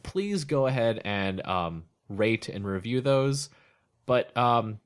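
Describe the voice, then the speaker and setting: Casual, animated podcast host. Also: medium pitch, slow, clean tone. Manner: rambling, self-correcting, jokey. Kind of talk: casual chat.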